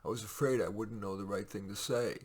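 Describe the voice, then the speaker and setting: A man with a raspy, gravelly voice reads his own short stories aloud in a measured, expressive way, with casual asides. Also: fairly low pitch.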